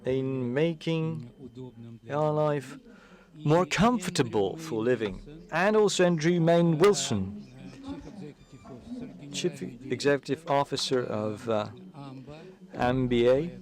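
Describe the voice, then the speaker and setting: Slow, hesitant male panel moderator. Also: fairly high pitch, a little mellow. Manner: hesitant, unsure, filler-heavy. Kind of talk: introducing panelists.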